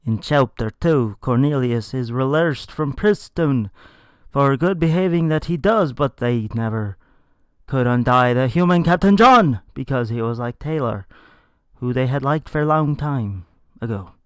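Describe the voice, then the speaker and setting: A man's voice: mid-range voice, lively intonation, a little slow, clear, resonant tone, no room sound, studio quality. A man reading a story aloud in a dramatic, comedic style with theatrical, emphatic delivery. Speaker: narrator reading a fan fiction story aloud.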